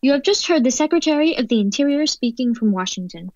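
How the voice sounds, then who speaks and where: A woman's voice: high-pitched, lively intonation, quick pace, darkish tone, dry recording. A woman playing a sober radio news announcer, reading urgent bulletins formally in a radio play. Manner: formal, telegraphic delivery. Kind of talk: scripted radio play newsreading. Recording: dull, dry lo-fi recording.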